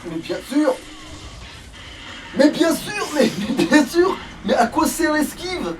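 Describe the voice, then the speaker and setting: A man's voice: very high-pitched, big pitch swings, takes it slowly, music in the background. A man giving casual let's play commentary on a video game, grumbling sarcastically and swearing freely. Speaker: let's player. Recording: slightly distant mic with faint noise.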